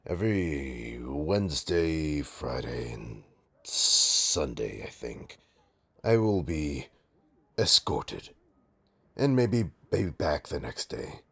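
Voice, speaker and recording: Guy doing a bitter sardonic supervillain for audio roleplay, really deep n gravelly, halting and theatrical. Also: exaggerated voice. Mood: smug, measured. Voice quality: raspy, gravelly. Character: menacing, taunting male supervillain, bitter, grudgingly rehabilitating. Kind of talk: scripted audio roleplay drama.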